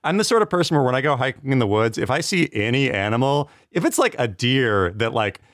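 A man's voice: mid-range voice, sing-song, a little fast, a bit bright, no room sound, clean studio sound. A man who is a TV comedy writer, chatting casually as a podcast guest, rambling and self-deprecating, often laughing.

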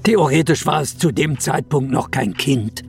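An actor playing a defensive space agency director under pressure in an audio drama, formal in manner, with a creaky voice. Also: male voice, medium pitch, a little slow, faint music behind.

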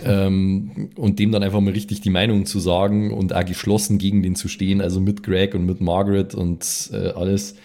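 A man with a low, dark and mellow voice co-hosts a podcast. He talks over a story with friends in a casual, analytical way. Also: little pitch movement, moderate pace, hardly any pauses. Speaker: podcast co-host discussing the story.

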